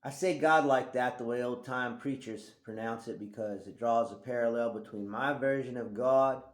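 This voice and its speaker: A middle-aged man from Thailand, reading his own book aloud in a conversational way and letting his voice drop quietly at the ends of phrases. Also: medium pitch, normal speed, echoey room. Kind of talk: reflective audiobook-style reading.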